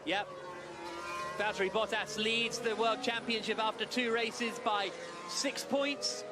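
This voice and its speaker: High-voiced male race commentator. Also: music in the background. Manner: brisk, excited play-by-play. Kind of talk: live motor racing commentary.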